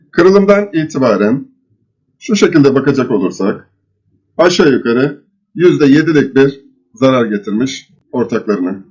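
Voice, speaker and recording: A man presenting an instructional screen-share lesson, explaining step by step in a measured, didactic way. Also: mid-range voice, darkish tone, husky. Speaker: presenter of trading chart lessons. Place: voice-over recorded over screen capture. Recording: dull, lo-fi voice recording.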